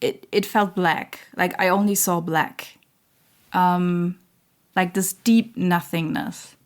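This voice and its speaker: A woman hosting her own spiritual channel, talking in a slow, searching way with many fillers and restarts while losing her voice. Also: on the low side, fairly even intonation. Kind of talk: reflective spiritual monologue.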